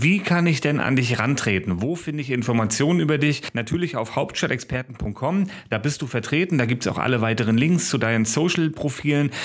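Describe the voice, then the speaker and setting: A man with a medium-pitched voice hosts a podcast interview. He talks informally and chattily, in a casual conversational style.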